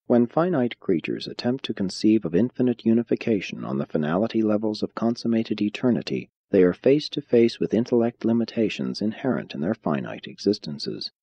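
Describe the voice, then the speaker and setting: A man reading an audiobook of philosophical religious text in a measured, solemn, deliberate way. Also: fairly low pitch, expressive, dark tone, slightly rough, no echo. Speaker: audiobook narrator. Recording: dull, muffled lo-fi audio.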